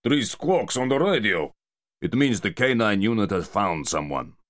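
A man voicing a burly, bear-like dockside mafia man who speaks calmly and unhurriedly. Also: lowish voice, normal speed, voice pushed up.

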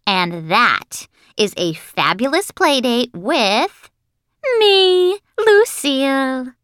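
A woman voicing a spoiled, boastful little rich girl in a children's audiobook, gushing and showing off with drawn-out words. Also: high-pitched, expressive, a bit bright, smooth, no echo, studio quality, voice pushed up, exaggerated voice. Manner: showy, drawn-out, gushing delivery.

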